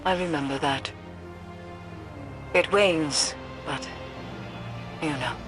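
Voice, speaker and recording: A woman playing a stern, cryptic mystic in a video game, with grave, formal, deliberate phrasing. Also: low-pitched, over music. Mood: solemn, guarded.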